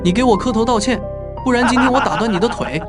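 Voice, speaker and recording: An actor playing an arrogant young village bully who taunts with a sneer, over loud background music. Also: male voice, very high voice, fast talker. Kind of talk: animated drama dubbing.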